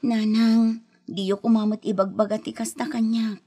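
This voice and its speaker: A woman's voice: a little high, level tone, moderate pace, warm tone, husky. An actress playing a put-upon wife and mother in a radio comedy drama, plaintive and grumbling about her household. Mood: apologetic.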